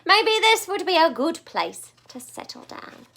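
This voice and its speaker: A woman's voice: very high-pitched, big pitch swings, unhurried, sharp tone, voice pushed up, full character voice. A woman voicing an earnest, dreamy boy pig from a storybook in an exclamatory, dramatic way. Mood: wistful. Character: earnest, dreamy male pig who turns panicky.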